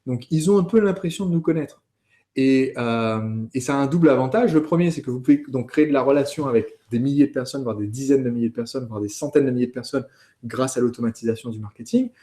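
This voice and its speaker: Mellow-voiced man teaching conversationally. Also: medium pitch, fast talker. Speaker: host of a business training course. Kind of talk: conversational teaching, interviewing a colleague.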